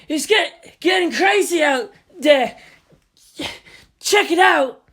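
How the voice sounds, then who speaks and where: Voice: high-pitched, animated, takes it slowly, fairly bright tone, voice pushed up, exaggerated voice. A narrator voicing an alarmed male studio artist who is out of breath and urgent.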